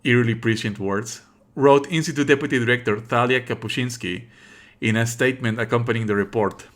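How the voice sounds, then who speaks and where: 비꼬는 말투의 남자 게임 스트리머. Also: male voice, medium pitch, fairly quick, a bit bright. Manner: sarcastic, exasperated asides. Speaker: streamer playing a visual novel. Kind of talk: live let's play, reading text aloud with commentary.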